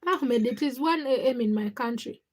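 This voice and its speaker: A woman's voice: fairly high pitch, normal speed, darkish tone. A woman with a Ugandan accent chatting casually as a livestream host, speaking to viewers in short, often repeated phrases. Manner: short, fragmented phrases with repetition.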